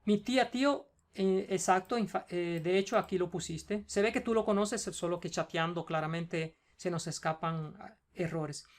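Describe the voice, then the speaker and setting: Cuban teacher patiently correcting homework. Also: female voice, lowish voice, normal speed. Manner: patient, explains step by step, checks in with "ok?". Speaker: Spanish teacher.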